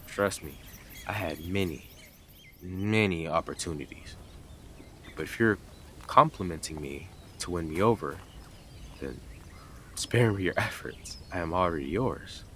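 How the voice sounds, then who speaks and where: A man's voice: deep voice, measured pace, pauses often, sharp tone, slight background noise. A man playing a blunt, sarcastic, teasing stalker who is devoted to the listener in an intimate roleplay audio drama. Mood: sincere, devoted.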